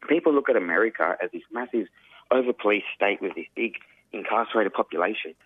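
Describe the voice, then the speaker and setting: A man, an activist guest speaking earnestly in a radio interview, his voice croaky. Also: fairly low pitch, no room sound. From Australia. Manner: earnest, emphatic, frequent "you know" fillers. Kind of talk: radio interview over phone. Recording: tinny phone line, dry.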